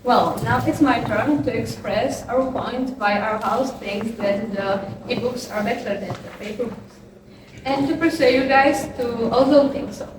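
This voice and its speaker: A woman's voice: on the high side, measured pace. Emphatic woman debater, distant and echoey. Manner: persuasive, emphatic repetition. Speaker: student debater. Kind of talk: debate argument. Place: large echoey school hall. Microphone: far room microphone. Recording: echoey distant audio with background noise.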